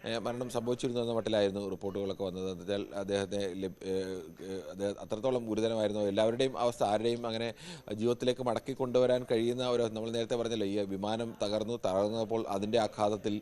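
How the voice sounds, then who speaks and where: A man's voice: fairly low pitch, steady tone, fast, dark tone. A man giving unscripted live news analysis as a correspondent, explaining with frequent rephrasing.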